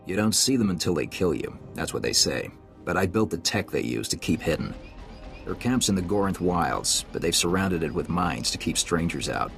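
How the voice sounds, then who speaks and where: An actor playing a grim, confident military tech specialist in a video game, speaking in blunt, terse phrases. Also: male voice, deep voice, sing-song, moderate pace, creaky, a bit bright, soft background music, heavily acted. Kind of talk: video game cutscene acting.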